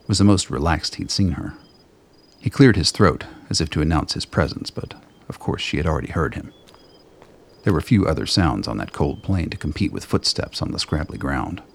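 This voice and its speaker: A man with a gravelly, husky voice reading scripted narration for a radio play. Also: extremely low pitch, animated, moderate pace.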